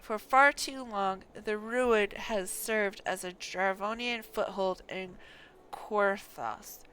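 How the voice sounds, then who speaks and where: A grave fantasy game lord, acted out by a woman, speaking with formal, stately care. The delivery is slow and measured, with a bright, forceful edge over faint background noise. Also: mid-range voice, heavily acted, poor audio. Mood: grave, weary. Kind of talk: reading fantasy game dialogue aloud.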